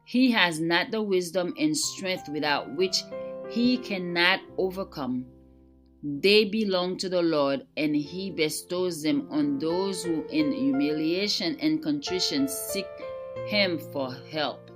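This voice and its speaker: A woman giving a scripted devotional reading in a measured, solemn way with careful phrasing. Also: deep voice. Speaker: devotional reader. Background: soft plucked guitar music.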